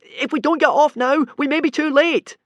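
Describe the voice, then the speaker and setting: A man voicing a quick-witted young Viking boy who talks sarcastically. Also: a little high, very animated, a bit bright, no echo, lo-fi sound, voice pushed up. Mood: desperate. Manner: sarcastic, grumbling.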